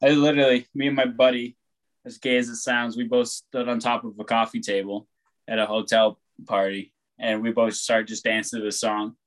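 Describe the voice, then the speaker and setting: A young man chatting casually, rambling and laid-back, who swears freely and talks loud. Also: mid-range voice, little pitch movement, normal speed, low-quality recording. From born on the West Coast, raised on the East Coast of the US. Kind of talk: casual chat.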